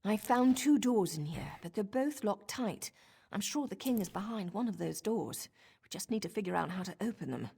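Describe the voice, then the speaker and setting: An actress playing a sly, playful assassin in a fantasy game, husky and creaky, with a wry, teasing manner. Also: female voice, medium pitch, animated, moderate pace, warm tone.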